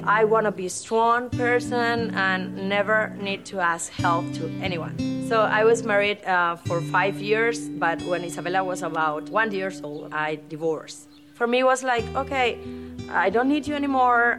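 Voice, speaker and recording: Woman in her 30s sharing her faith story, heartfelt, soft guitar behind her. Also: mid-range voice. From Cuba. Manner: heartfelt storytelling, non-native English. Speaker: testimony speaker. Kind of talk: personal faith testimony. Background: soft guitar music.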